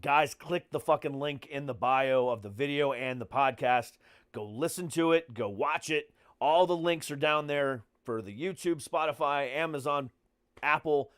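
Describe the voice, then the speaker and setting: A man's voice: a little high, normal speed, fairly bright tone, clear, resonant tone, no room sound. American guy hosting a podcast, blunt and sweary, just chatting, dry close sound. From United States. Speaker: podcast host and comedian. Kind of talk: casual podcast chat.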